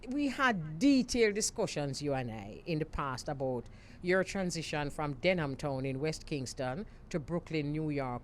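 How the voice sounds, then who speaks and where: A woman with a Jamaican accent hosting a radio talk show, emphatic and quick to laugh. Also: deep voice, a little hiss or hum. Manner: lively, laughs often, emphatic. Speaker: radio host.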